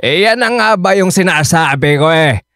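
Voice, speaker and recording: An actor playing a drunk, short-tempered live-in boyfriend in a radio drama, talking in a slurred, defensive way full of hesitant fillers. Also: male voice, fairly high pitch, animated, normal speed, fairly bright tone, dry recording, studio quality. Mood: irritated.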